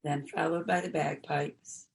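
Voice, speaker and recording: A woman acting in an audio play as a supportive, gently resolute mourner, earnest and reflective. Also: low voice, fairly even intonation, measured pace, vocal fry, heavily acted. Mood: somber.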